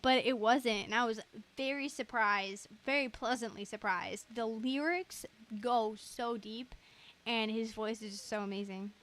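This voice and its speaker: A young woman with a fairly high voice hosts a casual game livestream. She talks in a chatty, gushing manner. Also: a little slow, fairly bright tone.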